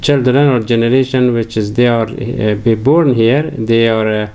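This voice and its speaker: An older man from Afghanistan with an Afghan accent, a native Farsi speaker, giving a halting religious lecture full of fillers and restarts. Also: mid-range voice, fairly even intonation, vocal fry, warm tone. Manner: hesitant, many fillers and restarts.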